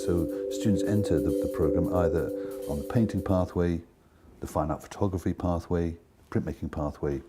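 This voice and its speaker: A staff man lays out how a degree programme is structured in a measured, explanatory manner. His voice is very deep, and loud music plays under him. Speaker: art school programme staff. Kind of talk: documentary interview.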